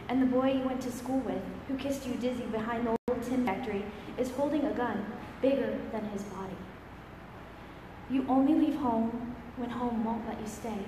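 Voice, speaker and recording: A woman in her thirties reading a poem aloud at a church service, solemn and measured with emphatic phrasing. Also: fairly high pitch. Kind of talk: solemn poetry recitation. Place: large church interior. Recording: echoey church hall, faint background noise.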